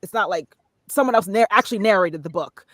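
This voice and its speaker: A woman's voice: mid-range voice, lively intonation, a little fast, a bit bright, dry recording. A woman speaking casually as an interview guest, explaining things in a conversational way. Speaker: podcast guest, voice-over artist and narrator.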